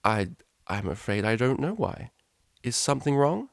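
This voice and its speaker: A man's voice: medium pitch, big pitch swings, moderate pace, creaky, no room sound, clean studio sound, voice pushed up. A man voicing a proper young female pony cellist, flustered and easily exasperated, in a story reading. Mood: nervous. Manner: proper, stammering when flustered, indignant.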